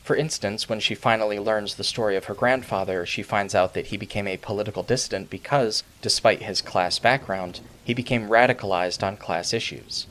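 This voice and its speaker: A man narrating a scripted video essay as its host, in a measured, formal, literary way. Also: lowish voice, fairly bright tone.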